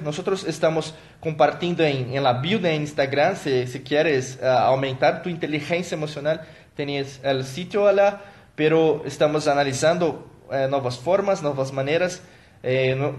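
A man whose first language is Portuguese, hosting a live stream as a motivational coach. He talks in a halting way, with many fillers and restarts, and thanks viewers by name. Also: fairly high pitch.

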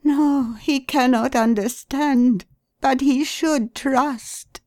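A woman voicing a distraught young wife, pleading in a strained, high voice. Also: a little mellow, dry recording. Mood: anguished. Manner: intense, emphatic, slow.

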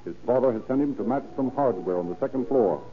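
A man's voice: medium pitch, expressive, fast talker. A man announcing and narrating in an emphatic, formal, scripted 1930s radio style. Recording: tinny 1930s radio recording with music.

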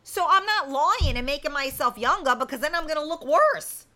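A woman's voice: high voice, lively intonation, normal speed, sharp tone. A woman in her fifties hosting a casual live chat, talking to viewers in a candid, blunt, colloquial way. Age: 50s. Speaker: host of a live chat show.

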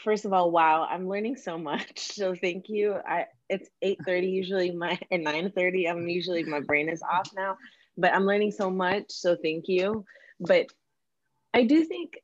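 Low-voiced woman, slightly dull audio. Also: moderate pace, no room sound. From born in the Philippines, moved to the United States at ten. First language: Tagalog. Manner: informal, self-deprecating, checks in with "you know". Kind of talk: casual, reflective discussion.

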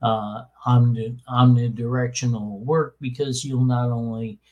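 A man with a voice that sits between a baritone and a tenor talks slowly and evenly. He hesitates often and fills pauses as he explains things casually. His pitch barely moves. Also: steady tone, highs cut off. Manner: halting, many fillers and restarts. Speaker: podcast host. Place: small room with soundproofing under way.

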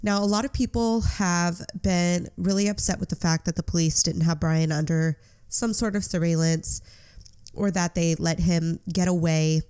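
A woman's voice: on the low side, steady tone, normal speed, warm tone, clean tone. A young woman hosting a true crime podcast, sounding congested from a cold, chatty and earnest. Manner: chatty, earnest, apologetic asides. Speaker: podcast host.

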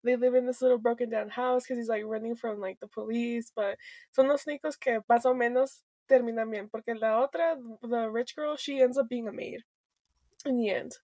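A young woman co-hosts a podcast in loose, rambling chat, full of fillers, swearing and quick switches into Spanish. Her voice sits fairly high for a woman. The sound is dry and close, with no room around it. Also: steady tone, mellow, no echo. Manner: rambling, filler-heavy, swears freely, laughs often; switches between English and Spanish mid-sentence. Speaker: podcast co-host.

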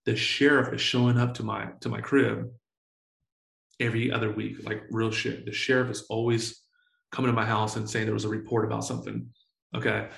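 A man in his forties telling stories in a casual interview, full of slang and swearing, excitable and often repeating himself. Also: on the low side, gravelly, reverberant. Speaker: entrepreneur guest.

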